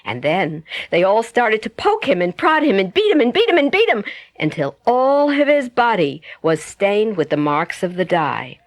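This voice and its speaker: A woman narrating a children's bedtime story as a storyteller, with expressive, dramatic and carefully phrased delivery. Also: medium pitch, moderate pace, dry recording. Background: music.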